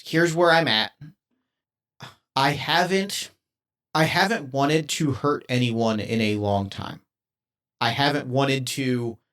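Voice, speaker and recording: A man's voice: medium pitch, fairly bright tone, close to an everyday voice. A man voicing an earnest male assassin robot in a tabletop game, speaking formally and carefully with a lot of hesitation. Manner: formal, careful, halting speech.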